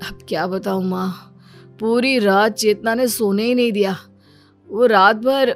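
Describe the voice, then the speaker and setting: A woman's voice: mid-range voice, fairly even intonation, measured pace, soft background music, lightly acted. A woman voicing an exasperated young husband in a cartoon story, complaining and sarcastic. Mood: weary.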